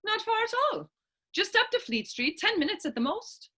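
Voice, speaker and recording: A woman's voice: extremely high pitch, sing-song, moderate pace, sharp tone, full character voice. A woman playing a bold, fervent Irish political idealist in a staged period drama reading, emphatic and persuasive. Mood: teasing, playful. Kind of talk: stage readers-theater dialogue in Irish period dialect.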